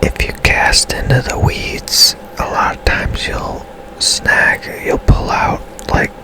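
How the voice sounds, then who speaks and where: A man whispering ASMR commentary as he plays a game, hushed and unhurried, musing aloud as he goes. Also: music in the background. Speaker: gaming ASMR host.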